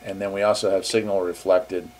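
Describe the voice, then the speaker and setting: A man's voice: low voice, level tone, fairly quick, a little mellow, rough recording. A man hosting a casual gear demo in an easygoing, upbeat way. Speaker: host reviewing radio gear.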